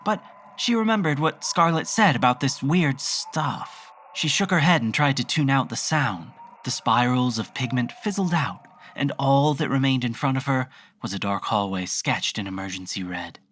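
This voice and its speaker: A narrator reading scripted horror fiction in a measured, literary storytelling manner. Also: mid-range voice, lively intonation, a bit bright. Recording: faint noise and ambience behind voice.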